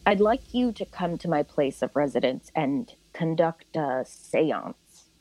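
A solemn spiritualist woman with a deep female voice. She speaks slowly and formally, in earnest, careful pauses, as in a radio play. The sound is very dry. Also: expressive, warm tone, dry recording, exaggerated voice, poor audio. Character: solemn, spiritual, literal-minded woman client seeking a seance. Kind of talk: audio drama acting.

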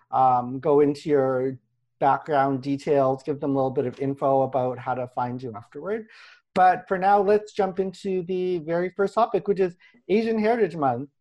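A podcast host steering a relaxed group conversation. The voice sits at a middle pitch, with a chatty, easygoing delivery and frequent laughter between questions. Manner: chatty, laughs often, quick asides. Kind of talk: casual chat.

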